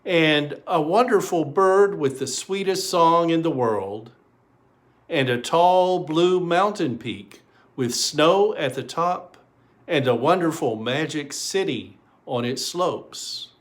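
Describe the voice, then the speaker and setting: Guy reading a kids story, kinda high voice, really unhurried, expressive w pauses. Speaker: reverend reading a story aloud. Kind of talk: children's storytelling, read aloud.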